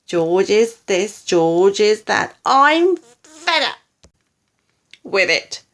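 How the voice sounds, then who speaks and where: Storybook grandma: old, horrid, bullying, loud and accusing, played by a woman. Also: medium pitch, sing-song, drawn-out words, heavily acted. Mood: angry, demanding. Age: older. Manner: shouting, scolding, accusing.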